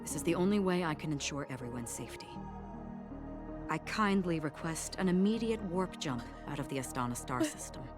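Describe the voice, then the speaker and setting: A solemn, mysterious wanderer from a video game. Her voice is low and soft-spoken, with grave, formal composure. Music plays clearly behind her. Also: animated, brisk. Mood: calm, firm. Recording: game dialogue over music.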